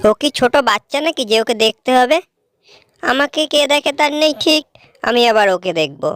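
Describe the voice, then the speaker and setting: Lady doing a young village sister for a cartoon, highish voice, dry sound w/ light music. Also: lively intonation, a little fast, a bit bright, clean tone, dry recording. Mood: spiteful, accusing. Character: two village sisters, one sweet, one spiteful. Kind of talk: cartoon folk-tale voice acting.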